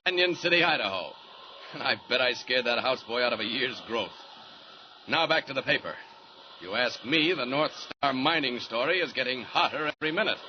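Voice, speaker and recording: A confident, menacing superhero played by a man in 1940s radio style. He speaks with hard authority at a middle pitch. The old recording is muffled and lightly hissy. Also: animated, a little fast, lightly acted. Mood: pleased, brisk.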